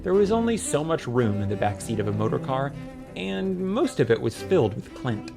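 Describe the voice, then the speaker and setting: A man's voice: mid-range voice, sing-song, moderate pace, gravelly, over music. A man narrating a comic fantasy audiobook in a wry, scripted storytelling style.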